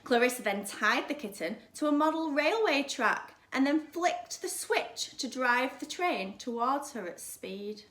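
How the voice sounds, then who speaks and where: A woman's voice: high-pitched, lively intonation, measured pace, few pauses, fairly bright tone. A woman reading a children's story aloud like a primary teacher, with dramatic, emphatic delivery. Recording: echoey room.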